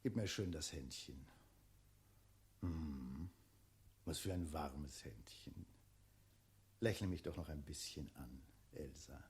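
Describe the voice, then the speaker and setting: A man's voice: very deep voice, takes it slowly, long pauses, a little mellow. A male actor playing a bullying, leering dragon in human shape in a 1960s radio play, switching between barked orders and oily sweet-talk.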